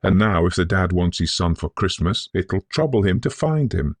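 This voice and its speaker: A man voicing a brash, cheerful young Victorian gentleman in an animated, theatrical way for a ghost story audiobook. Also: deep voice, normal speed, husky, dry recording, full character voice. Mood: breezy bravado. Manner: animated, exclamatory, theatrical period phrasing.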